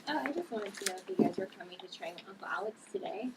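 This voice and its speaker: A woman's voice: medium pitch, expressive, fast talker, lightly acted. A woman playing a playful young heroine with new super strength in a table read. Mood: pleading, questioning. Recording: noisy, distant room recording.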